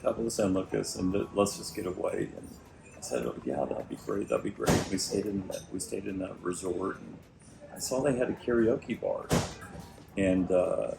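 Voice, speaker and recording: A middle-aged man telling his story as an interview guest, slightly raspy, with lots of restarts and fillers. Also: low-pitched, expressive, normal speed, heavy background noise. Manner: hesitant, many restarts and fillers. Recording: echoey room with music behind.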